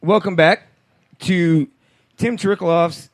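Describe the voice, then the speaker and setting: A man's voice: high voice, measured pace, dry recording, studio quality. A man hosting a casual talk show, bantering loosely and swearing often. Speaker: radio show host. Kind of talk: casual chat.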